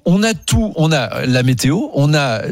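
A man's voice: on the high side, lively intonation, normal speed. A man who is a regular on a radio show, enthusiastically arguing his point and repeating phrases for emphasis. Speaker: regular columnist. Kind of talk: opinionated radio chat.

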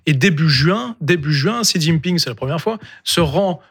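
Man speaking deliberately on a news panel. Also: on the high side, expressive, fairly bright tone, slightly rough. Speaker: panel guest. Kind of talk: TV panel debate.

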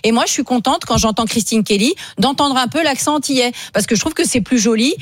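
Female panelist, fairly high voice, enthusiastic and opinionated, dry studio sound. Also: fast talker, no echo. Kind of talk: casual radio chat.

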